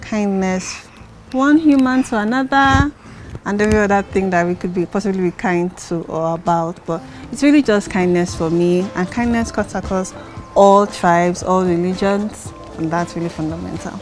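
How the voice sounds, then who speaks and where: A woman's voice: medium pitch, moderate pace, soft background music. A woman from Nigeria, a programs manager, speaking earnestly in an interview.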